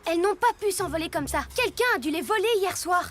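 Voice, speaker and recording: A woman's voice: very high-pitched, lively intonation, quick pace, fairly bright tone. A woman voicing a kind, encouraging six-year-old boy superhero in a cartoon. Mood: concerned. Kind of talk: animated children's cartoon acting. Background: music.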